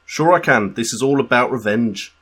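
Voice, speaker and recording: A man's voice: mid-range voice, lively intonation, normal speed, a bit bright, pitched above the performer's own voice. A man voicing a vengeful footballer in a defiant interview, with an amateurish Liverpool accent.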